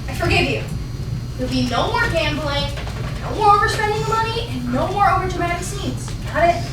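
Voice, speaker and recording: A woman's voice: very high-pitched, lively intonation, fairly quick. A female performer voicing an exasperated, bossy girlfriend with a scolding, sarcastic manner in a staged reading. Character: exasperated, bossy girlfriend fed up with her boyfriend. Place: small indoor theatre stage. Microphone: far camera. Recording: very echoey noisy theatre, far from mic.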